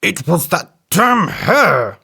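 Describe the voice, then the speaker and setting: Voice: mid-range voice, very animated, takes it slowly, gravelly, bright, forceful tone, slightly rough, voice pushed up, heavily acted. A storyteller voicing a big, strong male elephant who blusters and threatens, then turns falsely polite. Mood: angry.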